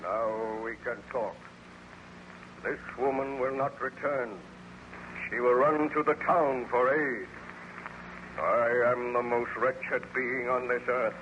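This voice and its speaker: A man's voice: medium pitch, steady tone, takes it slowly, pauses often, a bit bright. A male actor playing a bitter, wretched man-made monster in an old-time radio horror drama, declaiming in a formal, theatrical manner. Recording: muffled, very noisy old radio recording.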